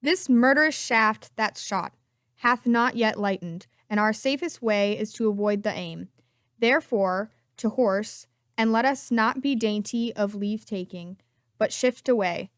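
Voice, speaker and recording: A woman's voice: mid-range voice, measured pace, clean tone, no room sound, studio quality, natural voice. A woman playing a wary, bereaved young prince with a guarded manner in an amateur Shakespeare reading. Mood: wary, resolved.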